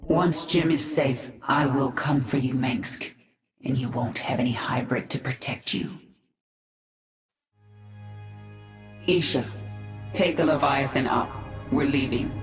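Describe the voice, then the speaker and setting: A brooding, battle-hardened queen in a game cinematic. Her voice is exceptionally low for a woman and echoes over faint music. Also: measured pace. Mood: grim resolve. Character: hardened vengeful alien queen, commanding, brooding. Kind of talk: video game cinematic acting.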